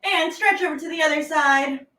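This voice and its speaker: A woman with a bright, very high voice teaches a children's movement class. She is playful and exclamatory, drawing words out as she gives easy instructions. The sound is echoey, as if she stands back from the mic in a room. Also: normal speed. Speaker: teaching artist leading a children's warm-up. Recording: roomy echo, distant from the mic.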